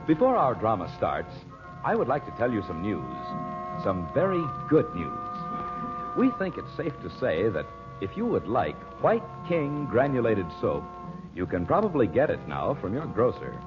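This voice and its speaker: A male American announcer in vintage 1940s radio style, with a very lively, swinging delivery. Music sits behind his voice, and the old broadcast sound is dull and muffled. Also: lowish voice, moderate pace. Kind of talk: 1940s radio announcing, scripted narration and sponsor pitch.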